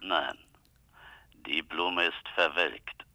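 An actor playing a gruff, fed-up detective's assistant in a radio crime drama, blunt and grumbling. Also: male voice, rumbling low, extremely slow, sharp tone, exaggerated voice. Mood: irritated, blunt.

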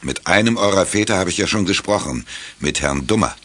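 An actor playing a calm, middle-aged chief inspector with a measured official manner in a German children's audio drama. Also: male voice, low voice, breathy edge, heavily acted. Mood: businesslike.

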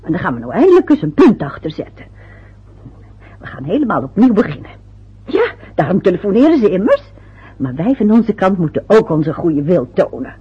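A woman's voice: on the low side, sing-song, fairly quick, slightly rough, exaggerated voice. An actress playing a fussy, anxious older mother in a radio play, chattering and muttering to herself. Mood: fretful. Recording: dull lo-fi old radio recording.

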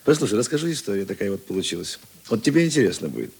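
Husky, low-voiced seasoned police investigator. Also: male voice, big pitch swings, a little mellow, heavily acted. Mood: eager storytelling. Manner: musing, halting, conversational asides. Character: seasoned male police investigator, gruff but warmly talkative. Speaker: actor in a radio play. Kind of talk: Russian radio drama dialogue.

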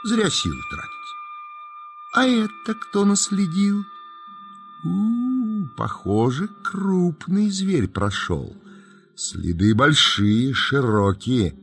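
A man's voice: fairly high pitch, lively intonation, slow pace, fairly bright tone, soft background music. A man narrating a children's nature tale in a folksy, dramatic storytelling style, savouring his words.

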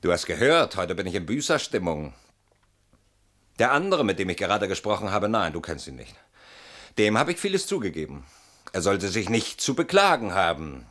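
Weary bitter man, radio drama, brooding, mocking, lowish voice, wildly swinging pitch. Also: big pitch swings, normal speed, fairly bright tone, heavily acted. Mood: mocking, confessional. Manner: brooding, mocking, swinging between muttering and outbursts. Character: weary, bitter man haunted by a betrayed old friend. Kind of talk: theatrical German radio drama monologue.

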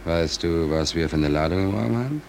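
An actor playing an embittered, hungry merchant seaman in a 1940s German radio play, speaking in a halting, brooding, insistent way. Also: low voice, animated, creaky, a little mellow. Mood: hushed, uneasy. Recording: noisy 1940s radio drama recording.

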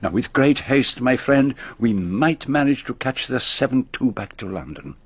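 An actor playing a shrewd, grave foreign detective with a French accent and precise, formal phrasing in a radio drama. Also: male voice, medium pitch, expressive, normal speed, husky, dry recording. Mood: brisk, assessing. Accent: French-speaking European.

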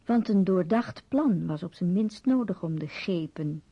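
A woman narrating a radio play in a measured storytelling manner. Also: lowish voice, lively intonation, slightly rough. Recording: muffled radio play recording.

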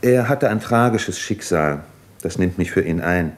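An actor in a 1950s German radio drama playing a tormented, thoughtful Russian doctor in love, speaking in a measured, articulate way. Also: male voice, low-pitched, animated. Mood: grave, intense.

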